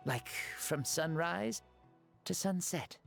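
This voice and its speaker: A man's voice: fairly high pitch, slow, vocal fry, soft background music. An actor voicing an ancient fire god revived in a boy's body, playful and theatrical in a video game.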